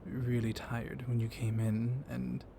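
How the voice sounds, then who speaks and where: A man playing a gently dominant, comforting vampire librarian in an intimate ASMR roleplay, speaking softly and haltingly, often slipping into a whisper. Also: mid-range voice, flat delivery. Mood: soothing, reassuring. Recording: clear storm noise behind the voice. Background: thunderstorm ambience.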